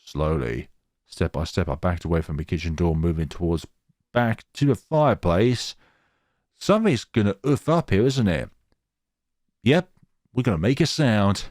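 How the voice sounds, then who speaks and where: Low-voiced man, lively visual novel narration. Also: moderate pace, pauses often, no echo, clean studio sound. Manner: expressive story reading, slips into commentary. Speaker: let's play narrator. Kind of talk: reading visual novel aloud with casual asides.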